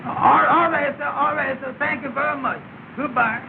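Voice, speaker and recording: A man's voice: steady tone, moderate pace, full character voice. A man playing an anxious, earnest, dim-witted cab driver in a 1930s comedy film, stammering in folksy, ungrammatical speech. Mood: nervous, hesitant. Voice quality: high-pitched, creaky. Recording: noisy, tinny 1930s film soundtrack.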